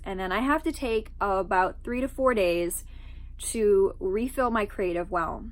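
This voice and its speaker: A woman's voice: medium pitch, fairly bright tone. Stuffy-voiced woman chatting to camera. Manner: chatty, frequent ums and asides. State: stuffy from allergies. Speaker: author and channel host. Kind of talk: casual talk to camera.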